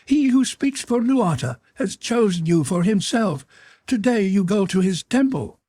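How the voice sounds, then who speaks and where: A man's voice: sits high, expressive, measured pace, a little mellow, dry recording, studio quality, pitched above the performer's own voice. A man voicing a furious, haughty creature priest in an audiobook, snapping and screaming with menace. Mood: scornful, commanding. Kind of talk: audiobook character voice.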